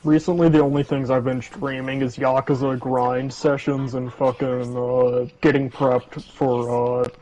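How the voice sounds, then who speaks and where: A man with a medium-pitched voice comes through slightly dull voice chat audio. He talks casually while gaming. He is blunt and teasing, and he curses often. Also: level tone, measured pace, few pauses, a little mellow. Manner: blunt, foul-mouthed, teasing. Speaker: gamer in a co-op session. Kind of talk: casual chat while playing an online game.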